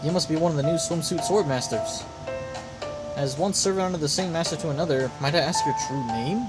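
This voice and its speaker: Guy reading a polite earnest old-timey game warrior, formal wording, highish voice, loud music. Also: brisk, lightly acted. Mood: courteous, earnest. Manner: formal, courteous, solemn phrasing. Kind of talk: read-aloud video game dialogue.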